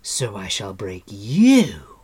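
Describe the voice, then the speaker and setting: A cruel enchantress queen from a fantasy storybook, acted out by a narrator. The voice is raised high and drawn out, often slipping toward a breathy hush. It is imperious, coaxing and full of menace. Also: big pitch swings, slow, heavily acted. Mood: furious. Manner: gentle coaxing turning to menacing hiss. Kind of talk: audiobook character voice.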